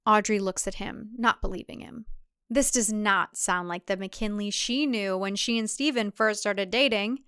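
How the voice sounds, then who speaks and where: A woman's voice: medium pitch, moderate pace, fairly bright tone, clear, resonant tone, no echo, clean studio sound. A woman who is the author, reading her audio drama as scripted narration with a wry storytelling delivery.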